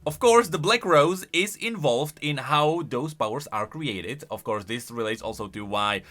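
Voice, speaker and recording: A man's voice: medium pitch, expressive, moderate pace, pushed and bright. A man giving casual video commentary on game lore as a YouTuber, rambling along and stretching words for emphasis.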